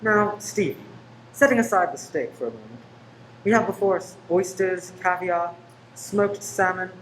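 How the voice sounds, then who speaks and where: A woman's voice: on the low side, moderate pace, natural voice. A woman playing a charming young man in a radio play, jaunty and inquisitive. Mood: playful. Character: charming, probing young man about town named Ted. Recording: noisy room recording.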